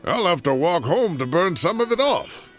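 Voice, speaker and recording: A man's voice: high-pitched, big pitch swings, moderate pace, a bit bright, soft background music. A man voicing a jovial elderly professor in an anime video game, chatty and avuncular with playful pride. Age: older. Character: jovial elderly male professor who tends tomatoes.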